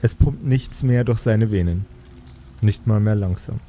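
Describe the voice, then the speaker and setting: Male game master, unhurried and dark-toned, improvising fantasy narration with dramatic pauses over faint ambience. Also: fairly low pitch, a little hiss or hum.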